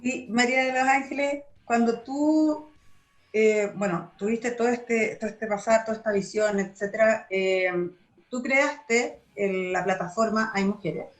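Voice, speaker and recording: A woman hosting a radio interview, speaking haltingly with many fillers and restarts. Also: on the high side. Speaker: radio host.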